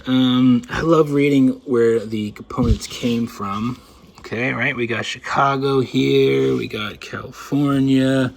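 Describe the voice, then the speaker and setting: A man's voice: medium pitch, steady tone, slow. A man hosting a hands-on electronics video in casual, unscripted commentary, thinking aloud as he inspects and sometimes trailing off. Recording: clear background noise, room ambience.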